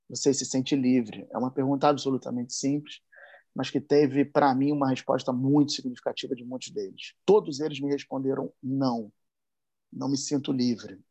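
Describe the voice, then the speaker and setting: A man's voice: mid-range voice, fairly even intonation, moderate pace, mellow, highs cut off. A man from Brazil with a Carioca accent, giving an impassioned talk full of slangy asides. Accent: Carioca Portuguese. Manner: emphatic, rising and speeding up when worked up, slangy asides.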